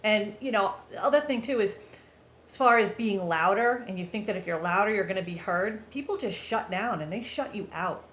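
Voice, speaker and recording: A woman vlogger with a loud voice, talking earnestly and chattily to her viewers in a casual confessional way. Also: medium pitch, moderate pace. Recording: roomy echo, faint background noise.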